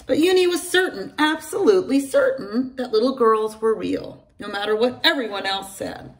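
A middle-aged woman reading a children's picture book aloud to her class, in an animated storytelling manner, recorded on a close phone camera in a large room at home. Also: on the high side, normal speed, rough recording. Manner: animated storytelling, addresses children by name, gravelly edge. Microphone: phone or laptop camera at close range.

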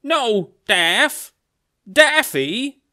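A man's voice: very high voice, very animated, very slow, bright, forceful tone, voice pushed up, exaggerated voice. A man voicing a distraught male cartoon rabbit, wailing melodramatically with grief in a webcomic read-aloud. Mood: grief-stricken. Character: distraught male cartoon rabbit mourning his duck love.

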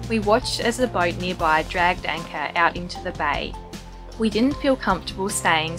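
A woman reading a calm, matter-of-fact voice-over narration for a sailing vlog. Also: lowish voice. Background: background music.

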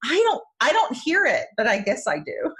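A woman's voice: sits high, big pitch swings, fairly bright tone, slightly rough. A woman podcast host with a Southern American accent, chatting enthusiastically with her guest. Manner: chatty, enthusiastic, quick asides. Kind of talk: casual interview chat.

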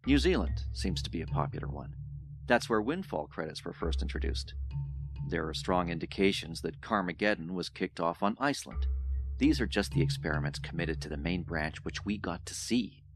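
A man's voice: deep voice, animated, moderate pace. An actor playing an older male professor in an audio drama, lecturing in formal, condescending, coldly rational tones. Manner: pompous, lecturing, formal wording. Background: music.

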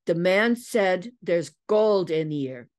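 Woman putting on a scrappy, slow-talking little street girl. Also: fairly low pitch, animated, a little mellow. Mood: defiant. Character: poor, hungry, ferocious dirty little street girl. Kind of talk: dramatic audiobook storytelling.

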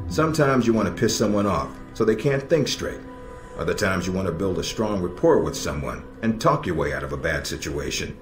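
Deep-voiced stern American agency chief. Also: male voice. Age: middle-aged. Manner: measured, dryly sardonic. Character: stern, dry-witted middle-aged male intelligence operations chief. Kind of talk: video game cutscene dialogue. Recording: echoey, music underneath.

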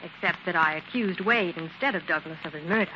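An actress playing a keen, inquisitive detective's assistant in a 1940s radio drama. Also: female voice, lowish voice, a little fast, lightly acted. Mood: curious, keen. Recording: dull, hissy 1940s radio recording.

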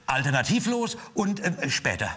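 A man's voice: fairly high pitch, sing-song, normal speed, pushed and bright. Bewildered older man stammering on stage. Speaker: co-host in a sketch. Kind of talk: comic sketch dialogue. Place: theater stage.

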